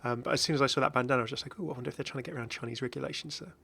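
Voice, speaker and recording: A man's voice: mid-range voice, steady tone, rapid-fire, vocal fry, pushed and bright, slightly rough. A man hosting a gaming video with casual, chatty commentary that drifts into hesitant asides. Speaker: video host, game content creator.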